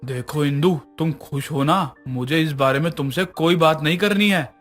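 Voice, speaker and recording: A middle-aged husband, acted by a man in a narrated moral story, brusque and weighed down by guilt. His voice sits a little high for a man, with music underneath. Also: moderate pace, a bit bright, natural voice. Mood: curt, irritated.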